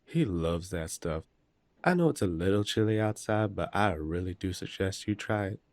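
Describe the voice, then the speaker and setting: A man playing a courteous, protective eldest werewolf brother in an audio roleplay, gently authoritative and polite. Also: low-pitched, lively intonation, moderate pace, dry recording. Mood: gentle, reassuring. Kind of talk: roleplay audio drama acting.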